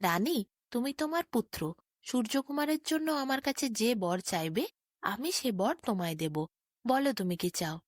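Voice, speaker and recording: A troubled, fatherly king of a fairy tale read by a woman speaks with formal earnestness. The voice is fairly high and recorded very dry. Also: lively intonation, normal speed, no echo. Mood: generous. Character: aging king, troubled loving father, solemn and principled.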